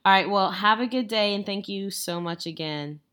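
A woman's voice: mid-range voice, moderate pace, fairly bright tone. A young woman co-hosting a casual podcast, teasing her co-host and laughing often.

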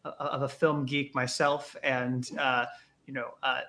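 A man's voice: mid-range voice, little pitch movement, slow, sharp tone. A man hosting a podcast interview, asking long, hesitant questions full of fillers. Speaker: interview host.

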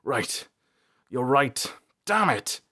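A gruff, middle-aged warrior turned tavern keeper in a fantasy audiobook, voiced by a man. He is blunt and dogged, a protective fighter who speaks in plain, dramatic phrases. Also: medium pitch, very animated, very slow, bright, forceful tone, full character voice. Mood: flustered, urgent.

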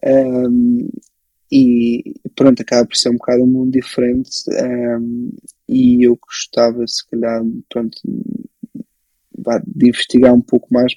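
A man's voice: medium pitch, gravelly, dark tone, breathy edge, dry recording, low-quality recording. A man in his twenties with a European Portuguese accent, telling his own story casually as an interview guest. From born in Belgium, grew up in Portugal from age six. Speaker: interview guest, photographer and filmmaker.

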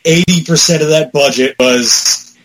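A man's voice: a little high, unhurried, a crowd in the background, low-quality recording. A man talking casually and enthusiastically as a podcast panelist, with some swearing. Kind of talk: casual chat about movies and games.

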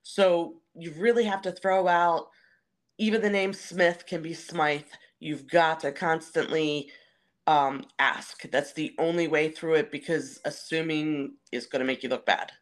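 A woman's voice: low voice, fairly even intonation, unhurried. A woman who works as a stadium announcer, answering interview questions in a conversational, explanatory way.

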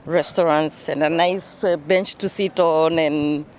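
A woman's voice: low voice, fairly even intonation, unhurried, a little mellow. A woman in her forties with a Kenyan accent, chatting informally as an interview guest outdoors. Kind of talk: casual conversational interview. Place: outdoors on a bench in a busy city square. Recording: clear outdoor traffic and city noise. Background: traffic and city ambience.

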